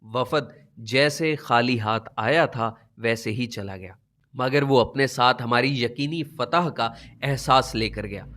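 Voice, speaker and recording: A male audiobook narrator with a mid-pitched voice reads scripted memoir prose. His delivery is measured and literary, shaped with dramatic expression. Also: a bit bright.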